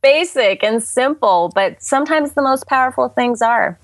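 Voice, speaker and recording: A woman's voice: high voice, lively intonation, moderate pace, no echo. A woman in her forties, a podcast guest, talking in a chatty, laughing, casual way. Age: 40s. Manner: warm, chatty, laughs often. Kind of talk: casual interview chat.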